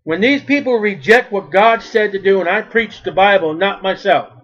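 A street preacher, a man with a high, big, loud voice, preaches with emphatic force that keeps swelling. The recording is dull and lo-fi. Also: moderate pace. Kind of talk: sermon.

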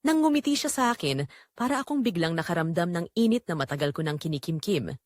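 A woman narrating an audio drama as a middle-aged mother-in-law confessing secret longing, in an intimate, measured way. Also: lowish voice, lively intonation, a little mellow, dry recording, studio quality, natural voice. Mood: confessional, yearning. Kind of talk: first-person dramatic storytelling.

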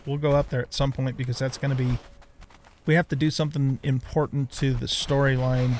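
A man's voice: medium pitch, level tone, brisk, darkish tone. A middle-aged or older man giving casual let's play commentary, rambling as he thinks aloud. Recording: clear background noise.

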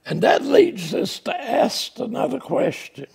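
A man's voice: very high-pitched, big pitch swings, measured pace, vocal fry, darkish tone, husky. An older man preaching a folksy, plainspoken sermon from the pulpit of a small church, speaking through a lapel mic. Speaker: pastor.

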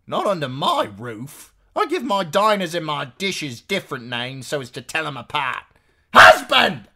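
Man acting bossy, sharp-tongued innkeeper woman, pitched high. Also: big pitch swings, moderate pace, exaggerated voice. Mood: bossy, indignant. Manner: scolding, rustic folksy speech.

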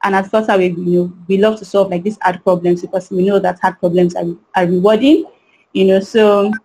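A woman's voice: mid-range voice, flat delivery, brisk, warm tone, rough recording. A woman in her thirties, a panelist on an online video call over a headset, speaking earnestly and often saying "you know".

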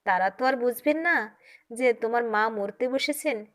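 A disdainful older mother-in-law put on by a woman narrator in an audio story. Her voice is fairly high, sneering and full of complaint. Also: unhurried, natural voice. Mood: scornful.

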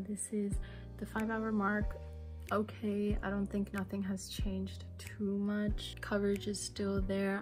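Young woman, beauty vlogger, frank casual delivery with swearing, voice a bit worn, faint music bed. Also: mid-range voice. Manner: candid, swears freely, drops to whispers. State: losing her voice. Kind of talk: casual vlog chat.

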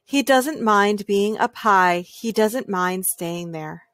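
A woman reads a children's story aloud with a calm, gentle delivery. Her mid-pitched voice moves in deliberate, measured phrases with small pauses. The sound is close and dry, without room echo. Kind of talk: calm children's picture book read-aloud.

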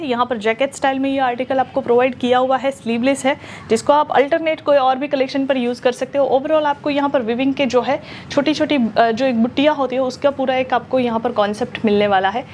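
A young woman presenting clothes in a shop showroom, talking in brisk promotional patter with lots of fillers, on a lapel mic. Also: high-pitched, steady tone, a little mellow, a little hiss or hum. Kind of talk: product showcase sales pitch.

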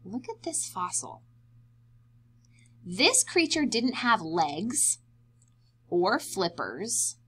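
Young woman, preschool story-time reader. Very lively, swooping intonation, unhurried delivery, simple child-directed explanations. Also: mid-range voice, slightly rough. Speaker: preschool teacher reading aloud to children. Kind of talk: expressive children's picture-book read-aloud.

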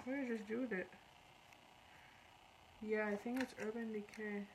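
A woman's voice: a little high, fairly even intonation, moderate pace, background noise. A woman in her thirties from Iran, chatting casually on a live makeup tutorial and reading out viewer comments while she works. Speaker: makeup artist. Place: small indoor room before a curtain.

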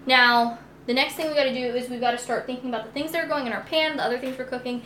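A woman's voice: sits high, fairly even intonation, rapid-fire, bright, forceful tone. A young American woman chatting casually and giggly as she hosts a cooking live stream and reads comments aloud. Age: young adult. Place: indoors in a home kitchen. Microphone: phone or tablet, a short distance away. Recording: noisy kitchen live-stream audio.

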